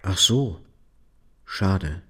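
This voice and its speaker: A man's voice: deep voice, animated, extremely slow, sharp tone, slightly rough, heavily acted. An actor playing a disillusioned, timid middle-aged architect and father in a radio drama, speaking in a subdued, terse way. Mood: inquiring. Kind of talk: German radio drama acting.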